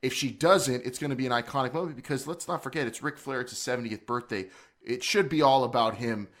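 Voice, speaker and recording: A man's voice: medium pitch, quick pace, a bit bright. Joking, rambling male podcast host. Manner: playful, joking, rambling with restarts. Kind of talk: casual wrestling talk.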